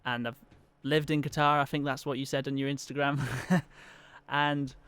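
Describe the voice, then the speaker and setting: A man's voice: mid-range voice, flat delivery, normal speed, a bit bright, clear, resonant tone. A man in his twenties from Wales, a student guest talking hesitantly and modestly, laughing easily. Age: 20s. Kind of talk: casual chat.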